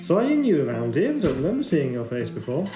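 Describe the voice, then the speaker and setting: Roomy sound with guitar music clearly behind. A man plays a friendly, chatty café server in an audio drama, polite and apologetic, with a slightly high male voice. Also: wildly swooping pitch, quick pace, highs cut off.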